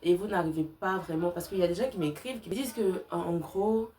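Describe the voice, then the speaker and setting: A woman's voice: on the low side, fast talker, dark tone. A young woman giving a casual, chatty crochet tutorial in an informal, colloquial way. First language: not French-only, has a self-described slight accent. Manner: informal, girlfriend-to-girlfriend address with colloquial tags.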